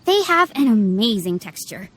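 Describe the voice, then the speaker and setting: A woman's voice: on the high side, very animated, moderate pace, slight background noise. A woman voicing a courteous restaurant waitress in an anime game. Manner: courteous, descriptive. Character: courteous female restaurant waitress describing dishes.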